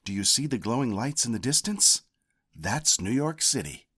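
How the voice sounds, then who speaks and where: A man voicing a resigned, gentle farmer father in a storybook, speaking matter-of-factly. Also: mid-range voice, animated, normal speed, breathy edge.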